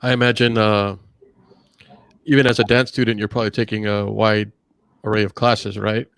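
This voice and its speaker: Low gravelly male panel host. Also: little pitch movement, moderate pace, fairly bright tone, no room sound. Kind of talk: online panel discussion.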